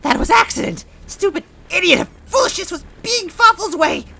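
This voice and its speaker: Shrill, gravelly little villain minion. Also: male voice, animated, normal speed, bright, forceful tone, exaggerated voice. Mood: panicked. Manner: broken grammar, speaks of himself in third person. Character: frantic, wheezing villainous minion with fractured grammar.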